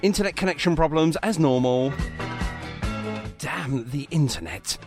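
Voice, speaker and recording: A man's voice: fairly high pitch, animated, a little slow. A British man hosting a radio show in an informal, chatty, jokey way with self-deprecating asides. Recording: music behind the voice. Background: music.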